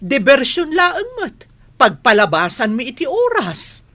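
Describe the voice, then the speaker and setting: Casting: defiant gambling housewife, Ilocano accent, piercing tone, very lively intonation, quarrelsome radio drama delivery. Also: female voice, high-pitched, unhurried, full character voice. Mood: indignant. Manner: argumentative, indignant. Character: defiant gambling-addicted married mother.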